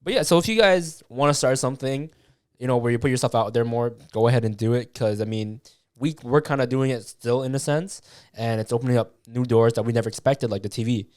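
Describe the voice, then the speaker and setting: A man's voice: mid-range voice, brisk, a little mellow, clean tone, no echo, clean studio sound. A young man from Canada co-hosting a podcast, telling stories with energy, swearing and calling people "dude" and "bro". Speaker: podcast co-host. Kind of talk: casual chat.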